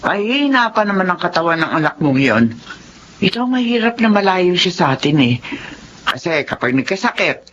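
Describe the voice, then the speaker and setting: A woman's voice: lowish voice, very animated, normal speed, a bit bright. An actress playing an anxious, fussy middle-aged wife and mother in a Filipino radio drama. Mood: worried, fussing. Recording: dull, faintly noisy radio audio.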